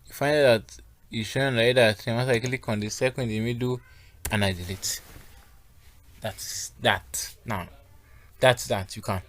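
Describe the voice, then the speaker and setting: A man's voice: medium pitch, steady tone, measured pace, long pauses. Male design tutor walking through steps. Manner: instructional, repeatedly checking that learners follow. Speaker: graphic design instructor. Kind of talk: step-by-step software tutorial.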